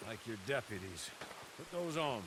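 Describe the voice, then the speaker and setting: An actor playing a composed, inventive gentleman in a western game, speaking in a dry, understated, clipped way. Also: medium pitch, sing-song, normal speed, lightly acted. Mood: dry, confident. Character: composed, inventive gentleman ally in the Old West. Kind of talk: dramatic game cutscene acting. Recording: clear rain noise behind. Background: rain.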